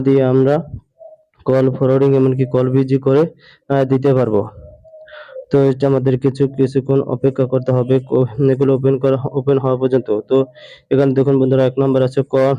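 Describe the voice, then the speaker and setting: A man's voice: mid-range voice, dry recording. A man hosting a phone tutorial and walking through each step, talking steadily with frequent fillers and restarts. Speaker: tech tutorial host. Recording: muffled lo-fi voice-over.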